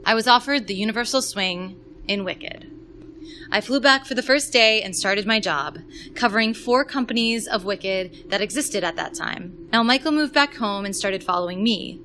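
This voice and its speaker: A woman who performs in musical theatre, reading a scripted personal story aloud in a polished, expressive way. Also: medium pitch, moderate pace, slight background noise.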